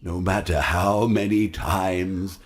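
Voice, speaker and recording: Slow, husky animatronic showman. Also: sits low, steady tone. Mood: possessive, ominous. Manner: measured, stagey, ominous. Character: theatrical animatronic showman, eerie, possessive.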